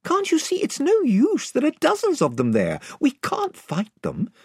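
A man's voice: very high voice, huge pitch swings, moderate pace, creaky, no room sound, clean studio sound, voice pushed up, full character voice. A man voicing a brave young girl who speaks earnestly and with resolve.

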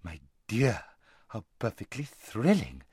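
A man's voice: lowish voice, huge pitch swings, takes it slowly, a little mellow, breathy edge, dry recording, studio quality, heavily acted. A man voicing a vain, careless young noblewoman in a languid, gushing, affected society drawl for a storybook. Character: vain, lazy-voiced, giggly young noblewoman, loud and careless. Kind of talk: audiobook character voice.

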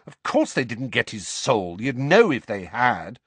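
A man's voice: medium pitch, very animated, moderate pace, fairly bright tone, no echo, voice pushed up. A man voicing an exasperated, defiant teenage boy, terse and impatient, in an audiobook story.

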